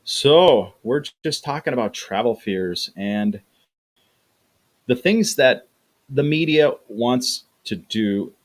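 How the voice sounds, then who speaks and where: A man's voice: medium pitch, lively intonation, takes it slowly. Chatty man hosting a livestream. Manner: chatty, repeats words, acts out quoted voices. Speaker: livestream host reading chat. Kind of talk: casual livestream chat.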